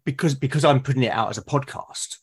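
A man's voice: mid-range voice, quick pace, husky. A British man speaking as a podcast guest, explaining things earnestly in casual conversation. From Britain.

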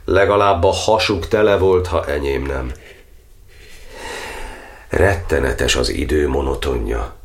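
An actor playing an old, suffering cardinal in an audio drama, declaiming a brooding verse monologue in an anguished way. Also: male voice, rumbling low, pauses often. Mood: sombre, remembering. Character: imprisoned Hungarian cardinal, old, suffering, brooding over his martyrdom.

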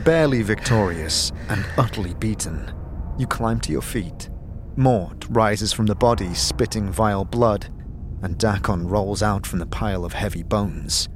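A man's voice: lowish voice, measured pace, a little hiss or hum. A man delivering scripted, dramatic fantasy narration as the narrator of an audio drama. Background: loud music.

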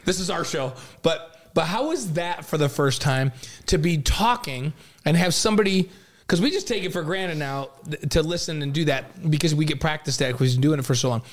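A middle-aged man hosting a sports talk show, chatty and quick with asides. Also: fairly high pitch, lively intonation. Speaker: sports radio host.

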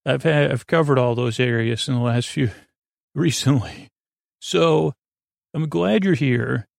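A man hosting a bedtime podcast in a creaky voice, meandering gently with hesitations, self-interruptions and a silly, lulling manner. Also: mid-range voice, measured pace, no echo, clean studio sound. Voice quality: creaky, gravelly. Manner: soothing, lulling. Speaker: podcast host. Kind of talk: rambling bedtime podcast monologue.

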